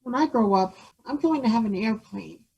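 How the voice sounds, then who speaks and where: An earnest, eager little boy in a high voice. The performance is made for a children's story. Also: lively intonation, moderate pace, gravelly, a little mellow, breathy edge, highs cut off, exaggerated voice.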